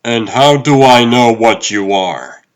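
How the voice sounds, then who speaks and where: A man's voice: mid-range voice, slow pace, lightly acted, rough recording. A man voicing a hot-tempered, exasperated police detective in a comic book dub, indignant and blustering. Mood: skeptical, annoyed.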